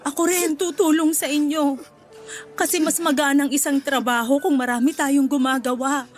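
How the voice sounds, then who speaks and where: Woman acting remorseful, pleading mother, high voice. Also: steady tone, normal speed, faint music behind, close to an everyday voice. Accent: Filipino. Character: remorseful mother pleading for her daughter's forgiveness. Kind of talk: Filipino radio drama acting.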